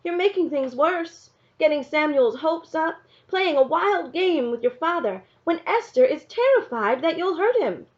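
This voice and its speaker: A woman's voice: sits high, expressive, measured pace, hardly any pauses, highs cut off, voice pushed up. Woman reading as a weary, earnest storybook mother. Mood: worried, pleading. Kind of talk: storybook character dialogue.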